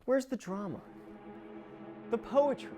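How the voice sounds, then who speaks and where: A woman's voice: fairly high pitch, unhurried, music in the background, close to an everyday voice. A woman playing a breezy, rebellious host of a plant show, with a casual, theatrical manner and exasperated asides. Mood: exasperated, defiant. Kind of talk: comedic educational show presenting.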